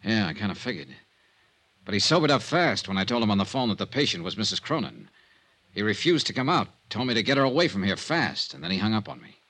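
An actor playing a cool, wry American investigator in a 1950s radio detective serial, understated and conversational. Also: male voice, fairly low pitch, very animated, quick pace, bright, forceful tone, husky, heavily acted. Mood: probing, measured. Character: cool, wry hard-boiled male investigator.

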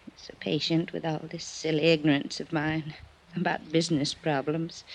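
A woman's voice: deep voice, flat delivery, normal speed, creaky. An actress playing a frail, weary, wistful older woman in an old-time radio drama, lingering sentimentally over her words. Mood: wistful, fond. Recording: dull, noisy old radio recording.

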